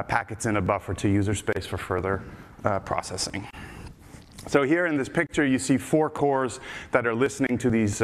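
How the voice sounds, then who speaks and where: Dutch man with American-sounding accent, hall reverb. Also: medium pitch. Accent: American-sounding English. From Netherlands. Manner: casual, joking, many filler uh's and restarts. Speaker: conference speaker. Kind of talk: technical conference talk. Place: large conference stage. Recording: reverberant hall with faint background noise.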